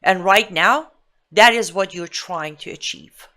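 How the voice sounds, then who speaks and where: Forceful Iranian-accented woman commentator. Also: mid-range voice, unhurried. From Iran. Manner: emphatic, indignant, rhetorical questioning. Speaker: political commentator and show host. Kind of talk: live political commentary monologue.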